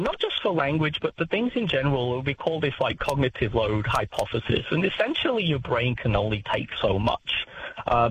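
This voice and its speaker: A man's voice: mid-range voice, fairly bright tone, slight background noise. Hesitant man, dull radio sound. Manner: hesitant, many uh fillers and restarts. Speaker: language expert guest on radio. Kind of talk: radio talkback interview.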